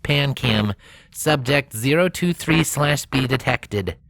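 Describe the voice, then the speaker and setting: A woman's voice: extremely low pitch, expressive, takes it slowly, vocal fry, exaggerated voice. Woman voicing a clipped, methodical robot rover. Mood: flat, methodical. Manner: clipped, procedural log-speak. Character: Opportunity, small literal-minded robot rover logging experiments.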